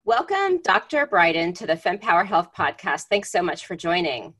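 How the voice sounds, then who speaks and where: A woman hosts a podcast, moving from a scripted opening to lively, upbeat questions for her guest. Her voice sits a little lower than most women's. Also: normal speed, faint music behind. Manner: upbeat and enthusiastic. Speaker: podcast host. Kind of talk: scripted intro and interview questions.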